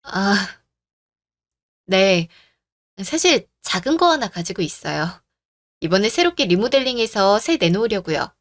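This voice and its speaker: A woman voicing a calm, firm young woman who argues in a reasoned way in a story radio drama. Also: medium pitch, measured pace, long pauses, dry recording, studio quality. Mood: gentle.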